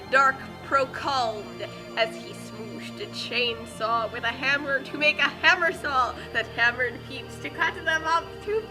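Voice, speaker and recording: Female co-reader, high voice, unhurried comic dramatics, music underneath. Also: rough recording. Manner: exaggerated, theatrical when reading aloud. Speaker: co-host of a fan fiction reading. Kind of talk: dramatic comic reading with casual commentary.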